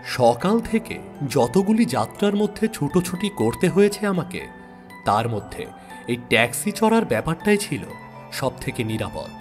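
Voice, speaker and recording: Expressive male storyteller over background music. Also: on the high side, moderate pace. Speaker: audio story narrator. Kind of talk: dramatic first-person scripted narration of a suspense story.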